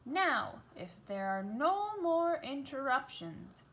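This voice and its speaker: Woman as bossy storybook crab, high-pitched, loud, demanding, grumpy, exasperated. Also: big pitch swings, slow, full character voice.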